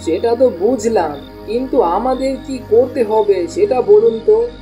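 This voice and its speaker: A woman's voice: on the high side, unhurried, warm tone, soft background music, close to an everyday voice. A woman playing an eager, money-hungry young village man in a cartoon story, informal and coaxing. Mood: wary curiosity.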